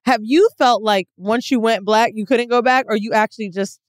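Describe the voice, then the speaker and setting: A woman hosting a podcast in casual chat, blunt and animated, laughing easily. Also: fairly high pitch, normal speed, no echo.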